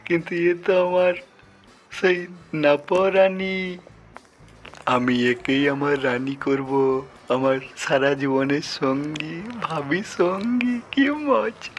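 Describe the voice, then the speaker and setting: Man acting theatrical lovesick tiger, higher-pitched, music behind. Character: lovesick, gullible male tiger looking for a bride. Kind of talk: Bengali children's folktale cartoon acting.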